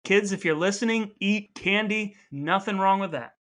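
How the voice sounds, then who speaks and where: Bright-voiced man hosting a playful podcast. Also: high-pitched, normal speed. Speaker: podcast host. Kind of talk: casual comedic banter, quizzing and signing off.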